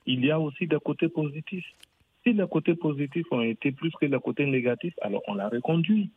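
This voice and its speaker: A man's voice: on the high side, brisk, breathy edge. A man calling in to a radio show by phone, stating his view with assurance and repeated emphasis. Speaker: caller. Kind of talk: call-in radio opinion. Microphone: phone line. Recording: tinny phone line.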